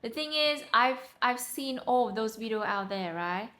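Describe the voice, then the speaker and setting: A young woman with a Vietnamese accent, excitably teaching travel phrases in a casual vlog style and repeating them for practice. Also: on the high side, normal speed. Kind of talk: casual chatty lesson. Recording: roomy echo.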